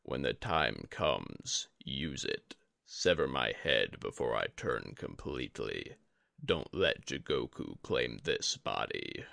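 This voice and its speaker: A man voicing a solemn, resigned, tainted old sorcerer and teacher in a slow, grave, measured way for a fantasy audiobook. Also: rumbling low, sing-song, creaky, pushed and bright, no echo, studio quality, pitched below the performer's own voice, full character voice. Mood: calm, stern.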